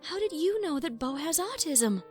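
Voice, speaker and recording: A woman's voice: high voice, big pitch swings, moderate pace, darkish tone. A woman voicing a polite, hesitant adult female pony in a fan fiction audio story, speaking tentatively. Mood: surprised, doubtful. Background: music.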